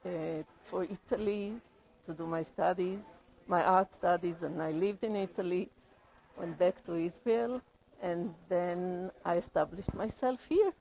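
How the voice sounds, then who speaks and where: A woman's voice: on the low side, unhurried, creaky, darkish tone, rough recording. Hesitant woman artist from Poland. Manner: hesitant, many fillers. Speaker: exhibiting artist. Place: art museum gallery, indoors.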